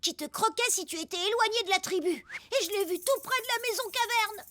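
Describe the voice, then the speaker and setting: A woman voicing an excitable, superstitious young prehistoric boy in a French cartoon, speaking in a dramatic, exclamatory way. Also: extremely high pitch, fairly quick, no room sound. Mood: fearful, earnest. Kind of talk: French animated cartoon dubbing.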